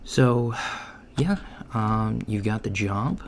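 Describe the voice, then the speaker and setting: A man playing an easygoing, reassuring alien job interviewer in a soft-spoken ASMR roleplay, talking casually and haltingly and trailing off. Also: fairly low pitch, lively intonation. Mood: friendly, matter-of-fact. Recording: lo-fi with clear background noise. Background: computer keyboard typing.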